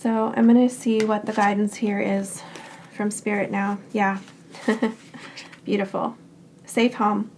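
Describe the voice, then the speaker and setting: A woman giving a tarot reading in short, unhurried phrases. Her voice is raspy and hoarse because she is getting over a cold. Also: mid-range voice. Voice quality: raspy, scratchy throat. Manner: calm and reassuring, speaks in short unhurried phrases. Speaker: tarot card reader. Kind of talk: pick-a-card tarot reading.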